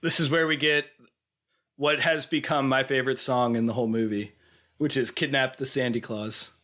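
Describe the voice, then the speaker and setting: A man's voice: mid-range voice, fairly even intonation, moderate pace, sharp tone. Man with a cold, chatting casually. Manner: rambling, self-correcting, laughs often. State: has a cold, losing his voice. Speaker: podcast co-host. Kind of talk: casual chat.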